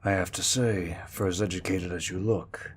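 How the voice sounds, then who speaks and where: A man's voice: sits low, fairly even intonation, moderate pace, slight background noise, lightly acted. A man playing a refined, menacing pirate captain who speaks with cool, mock-courteous politeness in a second-person roleplay audio drama. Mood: suave, menacing.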